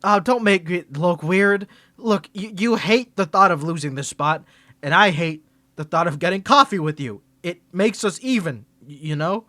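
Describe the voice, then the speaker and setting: A man's voice: high-pitched, animated, normal speed, sharp tone, dry recording. A man performing an ASMR roleplay to the listener, flustered and stammering, with defensive grumbling and bossy scolding outbursts.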